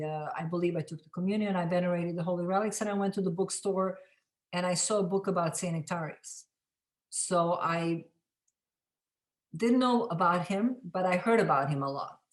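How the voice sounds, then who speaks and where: A middle-aged woman from Serbia with a Serbian accent, telling her story as an interview guest, halting often and restarting her sentences. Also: on the low side. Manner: halting, many restarts and fillers. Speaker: film director, interview guest. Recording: echoey room.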